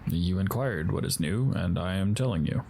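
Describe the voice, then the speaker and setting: Man playing a solemn, gravelly warrior spirit. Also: deep voice, animated, moderate pace, a little mellow, a little hiss or hum. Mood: grave, concerned. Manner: formal, stiff, earnest speech. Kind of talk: audio drama acting.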